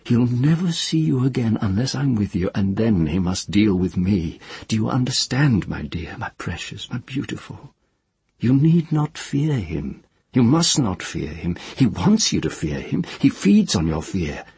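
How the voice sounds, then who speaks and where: A man putting on a tender yet fierce grown woman who soothes a terrified child. Her voice sits well above his own, soft and murmuring, with a husky grain. The sound is dry and close. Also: on the low side, sing-song, unhurried, dry recording, pitched above the performer's own voice, full character voice. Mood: fiercely soothing.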